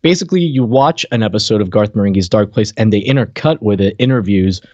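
A man's voice: lowish voice, expressive, fast talker, a little mellow, dry recording. Casual male co-host, dull dry sound. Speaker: podcast co-host. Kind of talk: casual conversational chat. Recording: dull, slightly lo-fi dry mic.

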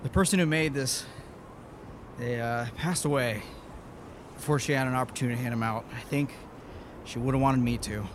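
An actor playing a weary but defiant leader of a science team in an audio drama, with a casual, wry delivery. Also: male voice, medium pitch, moderate pace, background noise, natural voice. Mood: reflective, sincere.

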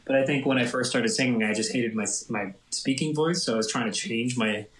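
A man's voice: medium pitch, fairly quick, reverberant. A man, a musician guest, chatting casually and self-mockingly, with lots of restarts. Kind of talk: casual interview chat.

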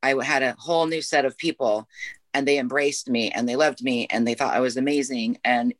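A man's voice: medium pitch, fairly even intonation, brisk, fairly bright tone, no echo. Discursive man in a very dry recording. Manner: wordy, discursive, piling up repetitions and asides. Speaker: podcast participant. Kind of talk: reflective conversational monologue.

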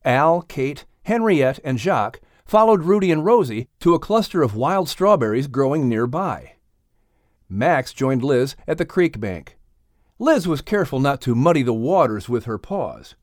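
Expressive American male storyteller with lively pitch. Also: a little slow, no room sound, studio quality. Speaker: narrator and podcast announcer. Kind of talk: scripted storytelling for children. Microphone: close studio microphone.

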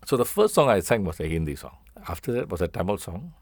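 A man's voice: sits low, sing-song, fast, darkish tone, slightly rough, no room sound, clean studio sound. A middle-aged or older man with a Singaporean accent, a musician casually telling anecdotes in an interview.

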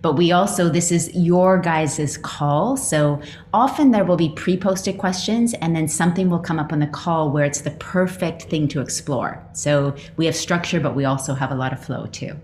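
A woman's voice: low voice, moderate pace, darkish tone. A woman speaking as host and coach on a casual group call, chatty and welcoming, greeting people as she goes. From Australia (lives there). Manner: chatty, welcoming, greets each participant by name. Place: indoor room on an online group call. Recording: roomy echo, faint background noise.

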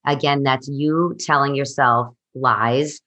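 A woman's voice: extremely low pitch, fairly even intonation, takes it slowly, fairly bright tone. A woman in her forties, a fitness coach, speaking in an emphatic, encouraging way. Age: 40s. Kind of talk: motivational interview answers.

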